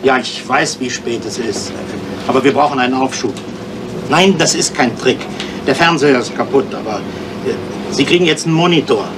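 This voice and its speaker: A man's voice: mid-range voice, moderate pace, lightly acted. An actor playing a harried policeman negotiating by phone in a crime radio play. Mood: harried, insistent. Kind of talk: 1970s German crime radio play acting. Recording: clear background noise.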